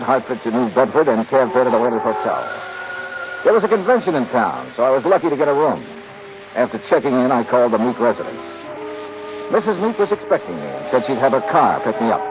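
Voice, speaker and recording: An actor playing a wry, skeptical American insurance investigator in a 1950s radio drama, with crisp narration and dry banter. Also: male voice, medium pitch, lightly acted. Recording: dull, noisy 1950s radio recording.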